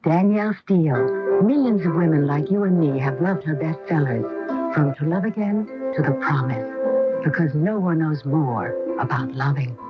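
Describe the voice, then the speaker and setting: A woman's voice: sits low, moderate pace. A woman announcer reading a scripted radio advertisement for a book. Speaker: commercial announcer. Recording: dull AM radio, loud music behind.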